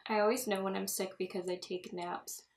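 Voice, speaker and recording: A woman's voice: medium pitch, steady tone, brisk, slight background noise. A young woman vlogging a makeup tutorial in a chatty, rambling way, laughing often, her voice a bit stuffy from a cold. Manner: chatty, giggly, rambling asides. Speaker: beauty vlogger. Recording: echoey room.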